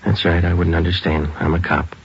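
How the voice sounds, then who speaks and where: An actor playing a terse, stoic police sergeant in a vintage radio crime drama, with clipped, deadpan delivery. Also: male voice, low-pitched, a little fast, very noisy. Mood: businesslike. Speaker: lead actor and narrator. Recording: dull old radio broadcast with music.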